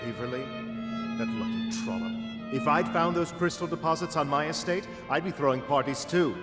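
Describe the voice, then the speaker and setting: An actor playing a drunk, bitter, lecherous aristocrat in a video game cutscene, languid and sneering. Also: male voice, a little high, lively intonation, normal speed, roomy sound, big-room sound, over music, lightly acted. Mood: envious bitterness.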